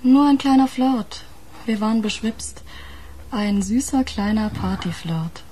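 An actress playing a blunt, wary fiancée in a radio crime drama, speaking in a curt, challenging manner. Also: female voice, fairly high pitch, animated, unhurried, dark tone, breathy edge, low-quality recording. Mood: dismissive, candid.

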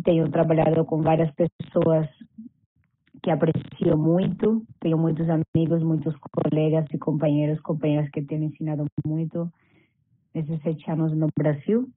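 A woman from Venezuela, a dancer, speaking slowly and thoughtfully on a video call, searching for her words. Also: low-pitched, breathy edge. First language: Spanish. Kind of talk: video call panel talk. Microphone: laptop mic on video call, camera off. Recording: tinny compressed call audio.

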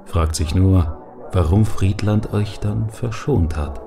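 A man playing a genial, measured, worn-out ork fixer in a German audio drama. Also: sits low, vocal fry, heavily acted. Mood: calm. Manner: calm, courteous. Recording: music bed behind the voice.

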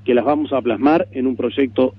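A tinny, narrow radio signal carries a Uruguayan man's mid-pitched voice. He explains his points as an interviewee, deliberately, repeating words for emphasis. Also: a little hiss or hum. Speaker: political interviewee.

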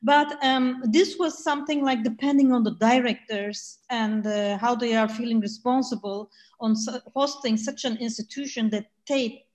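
A woman in her forties, a non-native speaker with a Turkish accent, speaking on an online panel over a laptop mic, halting with many fillers and restarts. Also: on the high side, steady tone, a little mellow. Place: room by a sunny window. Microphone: laptop mic on video call.

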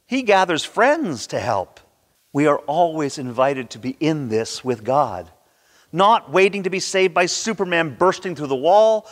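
A man in his sixties preaching a sermon with theatrical, emphatic delivery and dramatic pauses, recorded in a church. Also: on the high side. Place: large church sanctuary, indoors at a pulpit. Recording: reverberant church hall.

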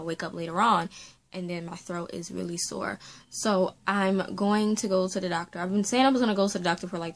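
Chatty woman vlogger with a sore throat. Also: fairly low pitch. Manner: rambling, talks with her hands. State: sore throat after illness. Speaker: faith and beauty vlogger. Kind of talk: casual chatty vlog to camera. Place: small room at home, indoors.

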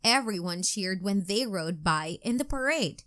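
Woman reading children's stories, very lively intonation. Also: mid-range voice, a little slow. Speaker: children's storybook reader. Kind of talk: scripted read-aloud narration for young children.

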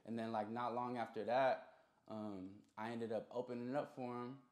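A man's voice: mid-range voice, steady tone, normal speed, darkish tone. A young man telling stories casually as an interview guest, talking in heavy slang and often checking in with the listener. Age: young adult. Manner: slangy, repeats "you feel me". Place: small indoor recording studio. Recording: roomy, echoey sound.

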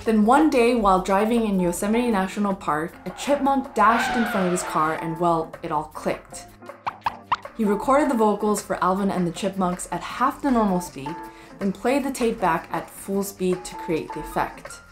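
A woman's voice: medium pitch, animated, moderate pace. American woman narrating, music behind her. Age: young adult. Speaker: host. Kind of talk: scripted educational narration. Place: wood-panelled room indoors.

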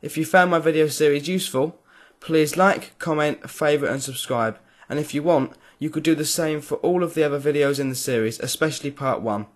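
A man giving a clear step-by-step voice-over for a software tutorial. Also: on the high side, brisk, hardly any pauses. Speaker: tutorial creator. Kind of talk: screen-recorded software tutorial.

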